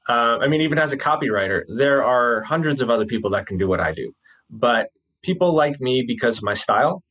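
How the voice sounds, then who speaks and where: A man with a husky voice and a New Jersey accent talks easily about his work as a guest, loose and conversational, dropping the ends of his words. The sound is a bit dull and lo-fi. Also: mid-range voice, fairly quick. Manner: relaxed, chatty, drops his g's. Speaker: copywriter, guest. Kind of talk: casual interview talk.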